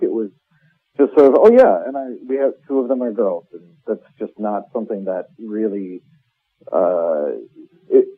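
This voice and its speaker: A man's voice: mid-range voice, husky. Man on a muffled phone-like line. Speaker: playwright interviewee. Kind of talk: casual interview conversation.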